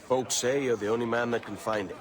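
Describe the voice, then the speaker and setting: An actor playing a cocky young pirate captain, blunt and dismissive. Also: male voice, medium pitch, fairly even intonation, a little fast, crowd noise, close to an everyday voice. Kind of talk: video game cutscene acting.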